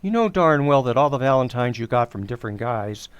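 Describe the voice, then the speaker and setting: A man's voice: medium pitch, animated, fairly quick, clear, resonant tone, dry recording, natural voice. A man acting a well-meaning, opinionated suburban husband and father in an old-time radio sitcom, in a hesitant, folksy manner. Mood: mildly defensive. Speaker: actor in community radio theater.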